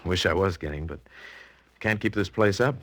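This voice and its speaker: An actor playing an affable, broke heir to a crumbling mansion in 1940s radio drama, breezy, slangy and emphatic. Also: male voice, low voice, normal speed, fairly bright tone. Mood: wry resignation.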